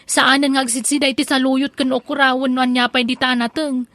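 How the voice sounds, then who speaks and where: A woman's voice: sits high, flat delivery, brisk, fairly bright tone. A woman with an Ilocano accent acting a humble, chiding village mother in a comedic audio drama. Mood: complaining. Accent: Filipino, Ilocano.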